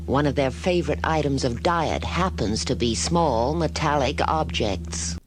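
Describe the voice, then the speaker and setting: A man's voice: mid-range voice, a little slow, lightly acted. An actor, a man, playing an earnest, expert crewman in a puppet show. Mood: grave.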